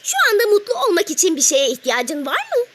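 Tiny high-pitched cheerful ladybug. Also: wildly swooping pitch, fast, background noise, full character voice. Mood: gently instructive. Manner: coaxing, teacherly, asks leading questions. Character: cheerful, wise little ladybug, patient teacher of happiness. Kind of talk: children's cartoon dialogue.

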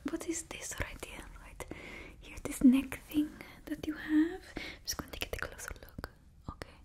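A woman's voice: high voice, mellow. A young woman voicing a fussy, theatrical supervillain fashion stylist in a put-on Russian accent, whispering close to the mic for ASMR. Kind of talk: ASMR roleplay. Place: small indoor studio, painted backdrop. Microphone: close studio mic.

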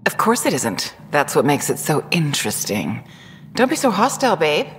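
A woman's voice: deep voice, huge pitch swings, moderate pace, big-room sound, slight background noise. A woman playing a haughty alien rival in a video game, condescending and needling with mock sweetness. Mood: smug, needling. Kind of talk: video game dialogue acting.

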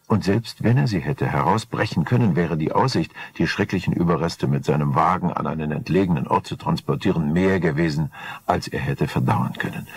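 Deep husky older male narrator. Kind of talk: scripted crime story narration. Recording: 1980 vinyl record transfer.

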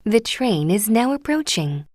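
A woman's voice: mid-range voice, big pitch swings, drawn-out words, a little mellow, no echo, studio quality. A woman reading short scripted train station announcements, formal and carefully enunciated. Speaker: English-language station announcer. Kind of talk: scripted public transit announcement.

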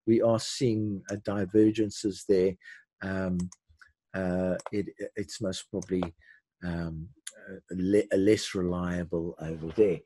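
An older man hosting a live webinar, giving unscripted commentary in a halting way with repeated words and restarts, his voice husky. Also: sits low, fairly even intonation, warm tone. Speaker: webinar host teaching forex chart analysis.

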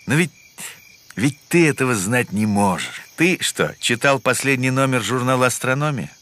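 A man's voice: medium pitch, lively intonation, moderate pace, a bit bright, natural voice. A man voicing a sensible, bookish bear in a cartoon, explaining things with a mildly teasing manner. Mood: questioning. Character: sensible, bookish adult brown bear, male. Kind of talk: animated cartoon voice acting. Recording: music behind the voice.

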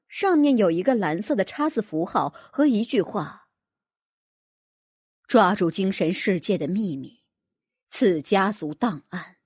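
A woman's voice: mid-range voice, darkish tone, husky, no echo, studio quality. A woman narrating a fantasy audiobook in a dramatic, scripted storytelling style.

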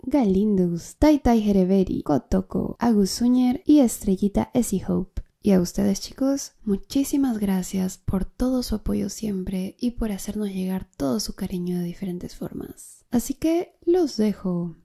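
A young woman hosting an ASMR channel, thanking her supporters in a soft, quiet way. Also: medium pitch, lively intonation, unhurried, vocal fry, few pauses, breathy edge. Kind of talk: thanking supporters before a video.

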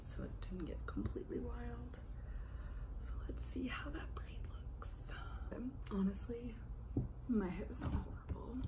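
A woman's voice: medium pitch, poor audio. A young woman in her twenties chatting casually while doing her makeup in her bedroom, losing her voice and talking half in a whisper, rambling and self-deprecating. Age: 20s. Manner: half-whispering, softly to keep quiet for roommates. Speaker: lifestyle vlogger. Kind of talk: casual get-ready-with-me makeup chat. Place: bedroom, indoors. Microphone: phone or laptop camera at arm's length.

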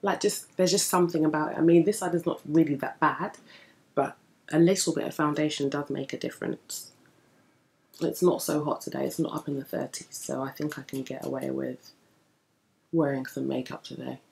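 A young woman with a deep, gravelly morning voice, chatting casually and explaining while she does her makeup in front of a bookshelf. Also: fairly even intonation, normal speed, a little mellow, low-quality recording. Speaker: beauty vlogger. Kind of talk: casual makeup tutorial chat. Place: indoor room in front of a bookshelf. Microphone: camera at desk distance.

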